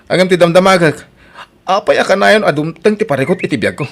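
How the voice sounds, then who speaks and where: A man's voice: sits high, animated, fast talker, creaky, exaggerated voice. A man playing a troubled, self-pitying husband who drinks, complaining glumly in an audio drama with an Ilocano accent. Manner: glum, complaining.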